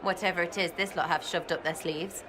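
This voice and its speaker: A woman's voice: lowish voice, fairly even intonation, brisk, natural voice. Woman as a cheeky English rogue, noisy surroundings. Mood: cheeky, grumbling. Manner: blunt, cheeky, slangy. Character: scrappy, irreverent young female rogue archer. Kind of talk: video game voice acting.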